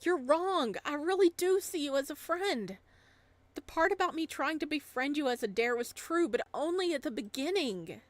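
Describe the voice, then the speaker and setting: A woman's voice: high voice, lively intonation, moderate pace, no echo, pitched above the performer's own voice. A woman voicing a timid, earnest, lovestruck young man in a visual novel. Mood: earnest pleading. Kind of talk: visual novel dialogue reading.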